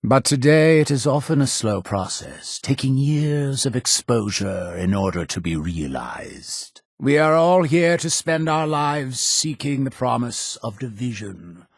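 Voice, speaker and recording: A zealous cult leader for a video game, solemn and fervent. His delivery is slow and grandly preaching, rising and falling dramatically. The voice is husky with a creaking edge, in formal, ceremonial phrasing. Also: mid-range voice, big pitch swings, no room sound, clean studio sound. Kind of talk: scripted video game character dialogue.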